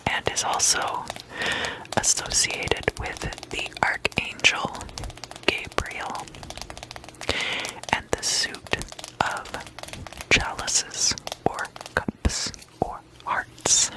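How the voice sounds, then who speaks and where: A whispering host leading an ASMR guided meditation in an unvoiced, breathy voice, soothingly repeating words and phrases. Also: very slow, pauses often, poor audio.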